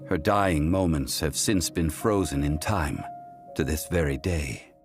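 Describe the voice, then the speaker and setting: An actor voicing an ancient, calm male god who tells old stories in a grave, formal way. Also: over music. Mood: solemn. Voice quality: deep, measured, grave. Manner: slow, formal, unhurried storytelling. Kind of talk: game voice acting.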